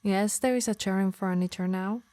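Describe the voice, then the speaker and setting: A woman's voice: medium pitch, fairly even intonation, normal speed, mellow, dry recording, close to an everyday voice. Doting, scolding harpy played by a woman, dry close sound. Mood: teasing, fond. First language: Italian. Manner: teasing, scolding, sighing. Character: possessive, doting, scolding female harpy healer. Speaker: ASMR roleplay performer. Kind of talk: ASMR roleplay acting.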